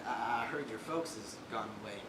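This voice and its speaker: A woman's voice: extremely low pitch, moderate pace. A performer playing a shy, kindly, bumbling man in a stage play, stammering nervously with folksy phrasing. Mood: flustered, shy. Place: stage in a roomy hall. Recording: distant, echoey, noisy stage recording.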